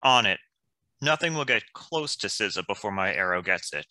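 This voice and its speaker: Man as a very bright, chipper archer hero. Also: fairly low pitch, animated, moderate pace, no echo. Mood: earnest. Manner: encouraging, chipper. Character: upbeat, earnest male archer and team motivator. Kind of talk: animated fantasy table read.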